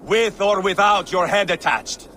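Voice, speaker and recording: An actor playing a mocking, bloodthirsty Viking warrior in a video game, cocky and sardonic in delivery. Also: male voice, sits high, a little slow. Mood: boastful. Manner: taunting, sardonic. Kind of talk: theatrical video game acting. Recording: loud ambient noise and hoofbeats behind.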